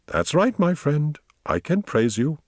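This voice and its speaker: A male narrator plays a lonely, domineering man talking down to his pet in a storybook. The voice jumps up in pitch and turns theatrical, condescending and commanding, in a dry, close sound. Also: sing-song, measured pace, dry recording. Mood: patronizing. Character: lonely domineering man, patronizing, menacing, maudlin with his dog.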